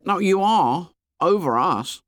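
A man voicing an anxious, defensive boy in a story reading, with a shrill, pleading, excitable voice and ungrammatical working-class speech. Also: slow, no room sound, clean studio sound, heavily acted. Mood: pleading, uneasy.

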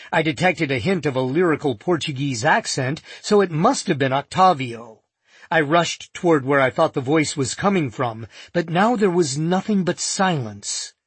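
A man narrating a first-person nonfiction audiobook in a measured, vivid storytelling manner. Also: fairly high pitch, slightly rough, no room sound.

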